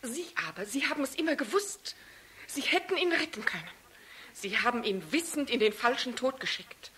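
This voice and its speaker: A stern widow in a radio drama, grieving and unforgiving. Her fairly high voice delivers each accusation with solemn, declamatory weight. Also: animated, normal speed, a bit bright, a little hiss or hum. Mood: accusing. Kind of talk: dramatic radio play acting.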